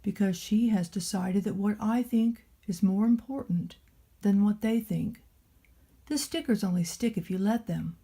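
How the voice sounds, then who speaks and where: A woman voicing a large, gentle bearded craftsman in a storybook, slow, thoughtful and reassuring. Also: medium pitch, vocal fry, slightly rough. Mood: tender.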